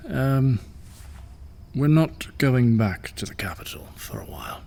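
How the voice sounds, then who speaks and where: A man's voice: medium pitch, huge pitch swings, unhurried, gravelly, mellow, exaggerated voice. An actor playing a weary, wry middle-aged ruler and father in an audio drama, speaking hesitantly. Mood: hesitant, confiding.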